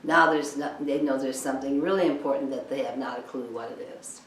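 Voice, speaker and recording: Echoey, low-voiced woman giving emphatic training talk. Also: fairly even intonation, fairly quick. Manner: emphatic, insistent, rhetorical questions. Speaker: cleaning business trainer. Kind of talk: instructional talk, training lecture. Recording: echoey room, distant mic, background noise.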